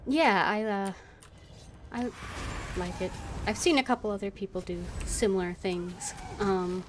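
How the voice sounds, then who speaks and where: A woman live-streaming a video game, thinking aloud in a casual, unhurried and halting way. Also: mid-range voice, background noise. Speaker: gaming streamer.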